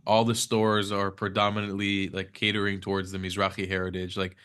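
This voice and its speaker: Low-voiced young man, rambling with fillers. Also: completely flat delivery, brisk, a bit bright, clear, resonant tone. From United States. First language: Hebrew. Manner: rambling, many fillers and restarts. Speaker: podcast guest. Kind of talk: casual conversational interview. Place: Israel.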